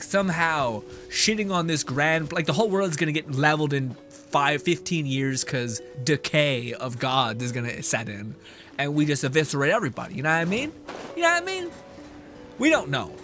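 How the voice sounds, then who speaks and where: Streamer: an animated, profane man, high and bright. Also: normal speed, soft background music. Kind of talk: casual gameplay commentary.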